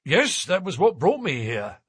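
A man's voice: fairly high pitch, wildly swooping pitch, unhurried, slightly rough, dry recording, studio quality, pitched above the performer's own voice, heavily acted. A man doing a bluff, hearty police detective.

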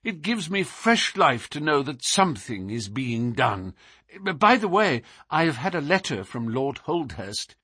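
A man voicing an anxious, grateful young diplomat recovering from illness in a storybook, speaking earnestly and excitably. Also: mid-range voice, a little slow, husky, dry recording, clean studio sound, pitched above the performer's own voice, exaggerated voice. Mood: feverish, grateful.

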